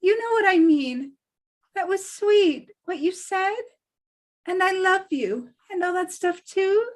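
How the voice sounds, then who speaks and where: A woman plays a practical, earnest young woman, a sheepish good girl, in a TV script read. Her voice sits very high and she takes her lines slowly. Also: animated, exaggerated voice. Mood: apologetic.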